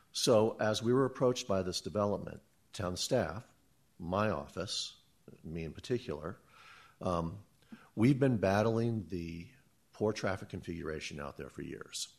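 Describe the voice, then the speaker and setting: A man with a very low voice and a loud delivery speaks into a handheld microphone at a public meeting. As town engineer he explains things plainly, backing up and restarting now and then. The talk is steady and practical, aimed at a room of residents.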